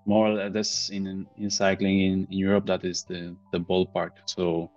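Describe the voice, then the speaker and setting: A man's voice: deep voice, completely flat delivery, measured pace, a little mellow, faint music behind. A man, casually interviewing a guest on a video call. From Italy. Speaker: podcast host, interviewer. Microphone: earbuds on a video call. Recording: slightly dull video-call audio.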